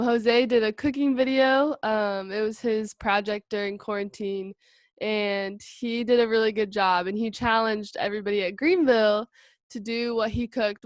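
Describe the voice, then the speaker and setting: A woman's voice: on the high side, dry recording. A young woman hosting a casual podcast chat in a friendly way, laughing often. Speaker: podcast host.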